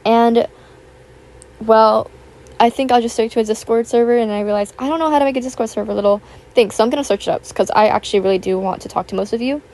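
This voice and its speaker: A young woman, raspy from just waking up, rambling chattily over a voiceover and recorded at home on a built-in tablet mic. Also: fairly high pitch, fast, darkish tone. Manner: rambling, filler-heavy, chatty. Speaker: YouTuber. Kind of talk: casual chat voiceover. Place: home, with household noise. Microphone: built-in tablet microphone.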